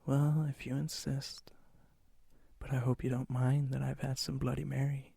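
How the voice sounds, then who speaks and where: Breathy, husky vampire charmer, played by a man. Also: mid-range voice, level tone, mellow, no echo. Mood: flirtatious, courteous. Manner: slow, intimate, teasing, old-fashioned courtesy. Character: charming, ancient male vampire, flirtatious hopeless romantic. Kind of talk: soft-spoken ASMR roleplay, often whispered. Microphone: close mic, dry sound.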